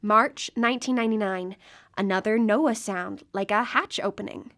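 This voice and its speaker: An actress playing an earnest, driven woman searching for a lost friend, with an intent, halting manner, in a live radio play. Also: medium pitch, fairly bright tone, close to an everyday voice. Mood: fervent. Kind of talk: live radio drama acting.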